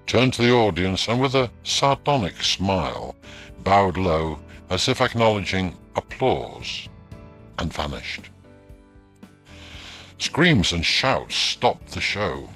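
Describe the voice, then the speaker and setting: A man with a low voice narrates a scripted ghost tale. His storytelling is measured and dramatic, and music plays clearly behind him. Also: fairly bright tone.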